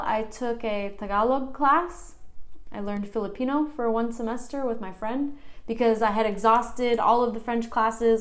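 A young American woman in her twenties, vlogging casually from her living room. She chats in a self-deprecating way, with lots of "like" and laughter. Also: a little high. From United States. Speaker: vlogger learning Basque. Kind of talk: casual chatty vlog. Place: living room at home, indoors.

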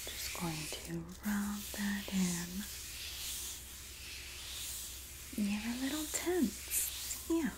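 A woman playing a sassy, supportive Jersey girl in an ASMR roleplay, speaking softly and often whispering in a breathy, doting, gossipy way with a New Jersey accent. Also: medium pitch, lively intonation, very noisy. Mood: soothing. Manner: slow, gossipy, doting, dramatic emphasis.

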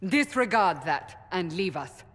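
A woman's voice: fairly low pitch, very animated, measured pace, fairly bright tone, slight background noise. An actress playing a stern, commanding female warrior in a video game, with an authoritative, clipped delivery. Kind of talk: video game cutscene acting.

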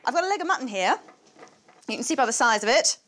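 A woman's voice: high voice, animated, fast talker, a bit bright. A woman historian presenting, chatting and explaining as she works in a farm cottage kitchen, laughing now and then. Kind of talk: casual demonstration to camera.

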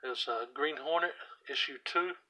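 A hoarse man casually walking through his comic book collection, reading out titles with offhand asides while he sits on the floor at home. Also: on the high side, moderate pace, pushed and bright, a little hiss or hum, rough recording. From US South. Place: indoors at home, seated on the floor by a shelf. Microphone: camera aimed at the shelf, speaker off screen.

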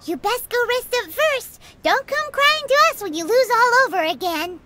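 A woman's voice: extremely high pitch, very animated, moderate pace, slight background noise, full character voice. A woman voicing a tiny, sassy fairy companion who calls herself by name, bubbly and teasing. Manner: sassy, talks about herself in third person. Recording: game audio over loud music.

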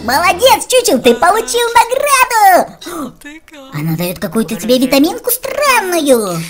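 A woman co-hosting a let's play for small children with animated, childlike exclamations. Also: very high voice, a little slow. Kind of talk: children's game commentary. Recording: music behind the voice. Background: music.

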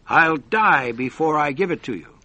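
A man voicing a frantic, jealous young man who guards his treasure and shouts wildly. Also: fairly high pitch, animated, normal speed, no room sound. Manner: shouting, wild.

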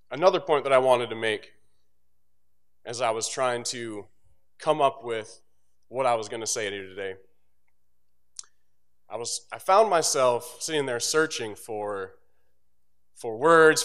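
A young man, an instructor, giving a casual, joking graduation speech from a podium microphone on an auditorium stage, with asides that drop low and quiet. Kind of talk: motivational commencement speech.

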